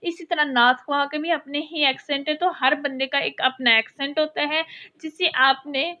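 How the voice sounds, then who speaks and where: A woman's voice: high voice, fairly even intonation, moderate pace, highs cut off. A woman lecturer explaining a course topic step by step, repeating her points and mixing in English terms. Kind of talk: recorded university lecture.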